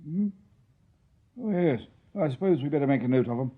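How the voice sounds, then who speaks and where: An actor playing an impatient, bossy Victorian police superior in a radio drama, huffy and officious. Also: male voice, fairly high pitch, expressive, a little fast, narrow, tinny audio. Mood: flustered. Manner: bossy, huffy, hesitant hums.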